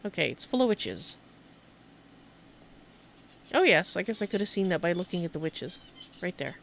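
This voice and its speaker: A woman's voice: on the low side, sing-song, normal speed, vocal fry, a little hiss or hum. A woman streamer giving casual video game commentary, rambling and thinking aloud as she goes.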